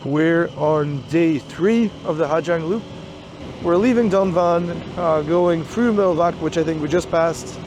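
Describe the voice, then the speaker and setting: A man vlogs his road trip out loud, thinking as he goes with plenty of ums and restarts. His voice sits a little high and is fraying as he comes down with something. Engine and road noise fill the background. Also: unhurried. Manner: rambling, filler-heavy, thinking aloud. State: getting sick, losing his voice. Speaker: travel vlogger. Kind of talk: casual travel vlog. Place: outdoors on a motorbike road trip.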